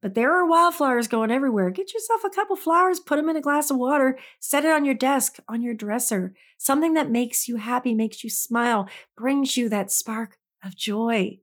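A woman's voice: high-pitched, moderate pace, hardly any pauses. A middle-aged woman and mother of grown kids, hosting a casual self-help talk in a chatty, folksy way, her throat a little dry from allergies. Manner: chatty, folksy, addresses listeners directly. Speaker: podcast host.

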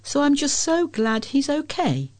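A woman's voice: sits high, very animated, a little slow, a little mellow. A woman reading a scripted local news story as a volunteer newsreader.

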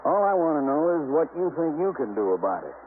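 An American man playing a beleaguered New York homicide lieutenant in a 1950s radio drama, gruff, grudging and defensive. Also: on the high side, sing-song, brisk. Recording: faintly noisy, narrow-band 1950s radio recording.

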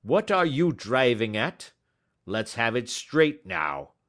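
A man voicing a belligerent, gruff poultry salesman who talks loud and combative in an audiobook story. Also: mid-range voice, sing-song, slow pace, pitched above the performer's own voice.

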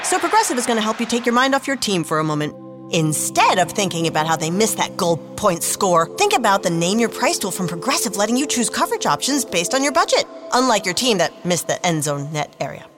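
A woman's voice: medium pitch, very animated, a little fast. A woman doing a perky, bubbly comic radio ad. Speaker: ad spokesperson. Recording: ad with music behind.

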